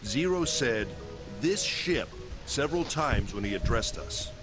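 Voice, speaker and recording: An actor playing a composed, analytical man in a game, speaking in a measured, reasoning way. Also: medium pitch, lively intonation, over music, close to an everyday voice. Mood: calm reasoning. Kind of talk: English game dub.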